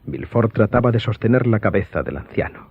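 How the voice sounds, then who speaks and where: A man giving scripted, dramatic audiobook narration in a husky voice. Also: fairly low pitch, animated, brisk. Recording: dull lo-fi sound.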